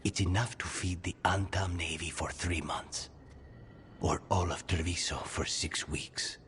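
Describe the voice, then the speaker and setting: Deep voiced assassin guy, dry n matter of fact, big reverb, fantasy game. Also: fairly even intonation, measured pace, fairly bright tone, slight background noise. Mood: cool, businesslike. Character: cool, businesslike male assassin for hire. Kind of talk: dramatic fantasy game voice acting. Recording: long reverb, faint background ambience.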